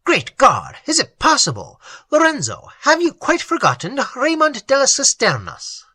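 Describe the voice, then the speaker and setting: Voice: mid-range voice, wildly swooping pitch, normal speed, pushed and bright, breathy edge, no room sound, voice pushed up, full character voice. A reader voicing a passionate young nobleman who speaks with urgent command in a classic audiobook.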